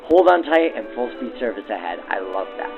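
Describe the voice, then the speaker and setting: A man's voice: medium pitch, sing-song, a little fast, gravelly, highs cut off. A man hosting in the style of 1940s radio, narrating and interviewing through a vintage radio filter. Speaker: host and interviewer. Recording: thin old-time radio sound, music behind.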